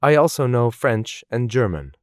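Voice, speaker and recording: A man reading scripted English course dialogues in a slow, clearly articulated, measured way. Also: mid-range voice, sing-song, no echo, clean studio sound. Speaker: language course voice actor.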